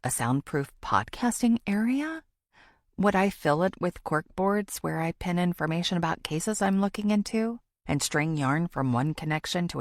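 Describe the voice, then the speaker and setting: A determined amateur female investigator thinks her way through a thriller audiobook. Her voice is slightly low with a creaky edge, moving expressively through a wide range as she reflects. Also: dry recording, clean studio sound. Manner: expressive, introspective. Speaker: audiobook narrator. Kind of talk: first-person thriller audiobook narration.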